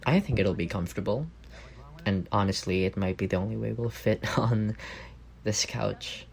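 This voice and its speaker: A man's voice: on the low side, moderate pace, gravelly. A man playing an affectionate, teasing young roommate in an intimate ASMR roleplay, speaking in a playful, tender way. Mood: tender, drowsy.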